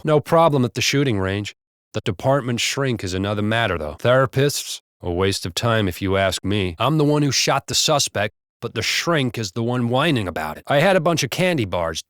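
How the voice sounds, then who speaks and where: Video game cop, played by a man: American, mid-pitched, blunt and profane wisecracker, casual delivery, very dry sound. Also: a bit bright, no echo, lightly acted. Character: foul-mouthed, gossipy male American cop on duty. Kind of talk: video game character banter.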